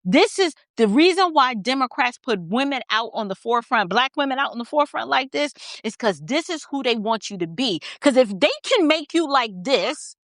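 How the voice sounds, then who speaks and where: A woman's voice: high voice, lively intonation, moderate pace, hardly any pauses, fairly bright tone, no echo, clean studio sound. An American woman giving opinionated off-the-cuff political commentary, blunt and emphatic, repeating phrases for stress.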